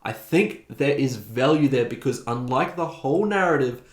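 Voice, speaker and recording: A man's voice: medium pitch, lively intonation, normal speed. A man hosting a video essay, giving opinionated, conversational commentary. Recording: roomy, echoing voice recording.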